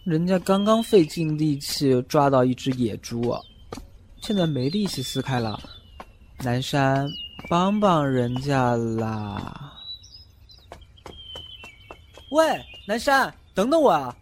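A man playing a feisty, vain, jealous young male fox spirit in a Chinese audio drama, bratty and excitable. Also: a little high, a little slow, slight background noise. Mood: showing off.

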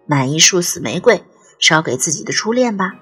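An uncanny young boy flower seller, played by a woman, speaks with lively, swooping pitch over music. He is fluent and persuasive, sly and far too knowing for his age, in the manner of an eerie radio play. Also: normal speed, slightly rough, full character voice. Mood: coaxing, knowing.

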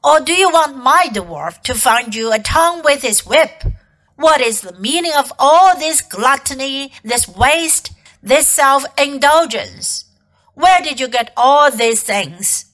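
A woman's voice: high voice, expressive, fairly bright tone, exaggerated voice, low-quality recording. A woman playing a haughty, commanding witch queen. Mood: stern, demanding. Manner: commanding, imperious, drawn-out.

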